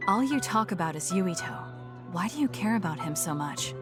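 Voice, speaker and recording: A woman's voice: on the low side, animated, moderate pace, music in the background, lightly acted. An actress playing a cool, blunt, determined young female soldier in a video game, reserved and matter-of-fact. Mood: cool, firm.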